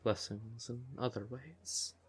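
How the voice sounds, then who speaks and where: A man playing a devoted, emotional siren boyfriend in an intimate fantasy ASMR audio roleplay, with a breathy, tender voice. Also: medium pitch. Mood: tender, intense. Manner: tender, unhurried, emotive, with pet names. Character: devoted male siren nightclub singer, affectionate boyfriend, easily exasperated.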